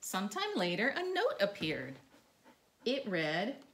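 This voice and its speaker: Animated, bright-voiced middle-aged woman reading storytime. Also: mid-range voice, slow. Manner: animated, sing-song, coaxing with questions. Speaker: therapy dog owner reading a storybook aloud. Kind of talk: children's read-aloud, talking to a dog and young viewers. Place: living room by a stone fireplace, indoors. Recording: clearly noisy home recording.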